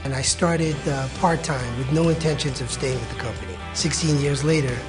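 A woman's voice: rumbling low, normal speed. A woman from Trinidad and Tobago, a longtime hotel employee speaking conversationally in a testimonial. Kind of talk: interview testimonial. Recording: music bed underneath.

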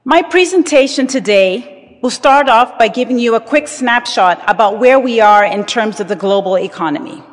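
A woman delivers a formal address to a large audience. Her voice sits fairly high, and each phrase is measured and emphatic. The sound carries a long reverb from the hall. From Zambia. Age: 50s. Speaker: guest speaker.

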